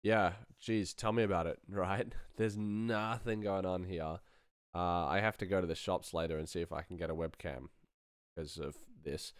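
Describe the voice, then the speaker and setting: A man's voice: low voice, steady tone, moderate pace, clear, resonant tone, no echo, clean studio sound. A man hosting a casual live stream as a sound designer, rambling and thinking aloud as he works.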